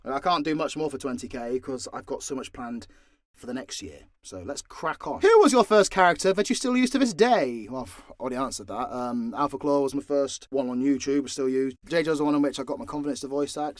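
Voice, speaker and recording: A man's voice: fairly high pitch, very animated, quick pace, no echo, clean studio sound. A British man casually answering fan questions off the cuff, sweary and joking with mock exasperation. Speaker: audio roleplay creator and voice actor.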